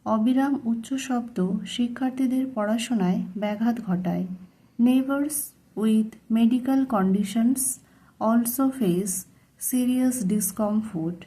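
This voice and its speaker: A woman with a fairly high, soft-toned voice reads like a tutor giving dictation. Her pace is extremely slow, and she sets out each phrase deliberately, word by word. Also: poor audio. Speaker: English tutor. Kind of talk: slow dictation-style bilingual reading of a model letter.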